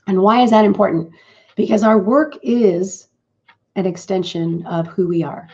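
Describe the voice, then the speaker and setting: A middle-aged woman hosting a live business broadcast, talking in a chatty, rambling way with a croaky morning voice. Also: medium pitch, fairly even intonation, moderate pace, mellow. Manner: chatty and rambling, with fillers and restarts. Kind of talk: casual live-stream talk. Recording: dull lo-fi stream audio.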